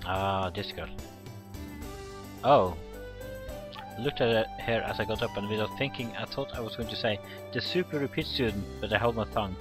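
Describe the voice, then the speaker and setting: A man's voice: lowish voice, moderate pace, long pauses. A man doing let's play commentary, reading a visual novel script aloud, acting out the dialogue and stumbling over words. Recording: game music behind the voice. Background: visual novel background music.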